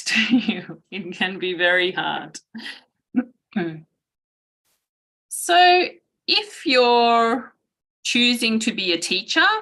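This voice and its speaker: A woman from Australia giving an online guest lecture to students, speaking in a slow, measured way and clearing her throat often. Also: lowish voice, sharp tone.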